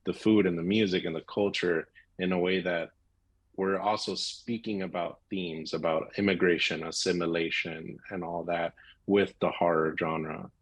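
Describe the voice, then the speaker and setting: A man with a very deep voice and an American accent talks in a casual interview. He is a film director answering in a conversational way. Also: steady tone, normal speed, breathy edge. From Miami, United States.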